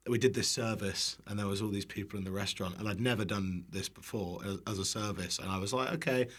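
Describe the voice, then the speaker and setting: A man's voice: lowish voice, quick pace, fairly bright tone. A British man telling casual anecdotes as a guest, in a self-deprecating way with some casual swearing. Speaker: guest, internet cooking creator.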